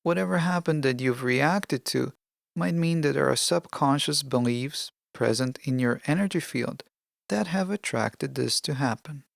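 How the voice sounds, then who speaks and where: A podcast host giving scripted self-help narration in a measured, deliberate, instructional way. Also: mid-range voice, gravelly, dry recording, clean studio sound.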